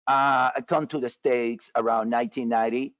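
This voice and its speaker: A man's voice: medium pitch, fairly even intonation, moderate pace, fairly bright tone, no room sound. Cuban-born man hosting a webinar, dull audio. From Cuba. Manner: casual, repetitive, filler-heavy with many restarts. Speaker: trading webinar presenter. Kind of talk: live webinar talk.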